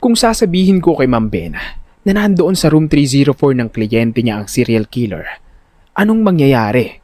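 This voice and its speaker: A man narrating a first-person audio drama in an expressive, suspenseful, scripted storytelling style. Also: medium pitch, moderate pace, no room sound, studio quality.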